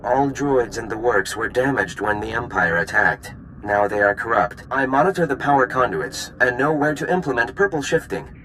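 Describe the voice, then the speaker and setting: An actor playing a politely pedantic alien science unit in a video game, with formal, oddly stilted delivery. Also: male voice, mid-range voice, expressive, moderate pace, few pauses, sharp tone, slight background noise, low-quality recording. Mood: formal, curious.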